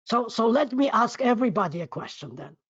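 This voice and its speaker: Man, Polish accent, husky voice, effusive, halting chat with repeated words, dull close online-call sound. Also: very high voice, very animated, a bit bright, no room sound. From Poland. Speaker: guest speaker, magazine editor. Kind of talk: warm conversational talk to an online audience. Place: online video meeting.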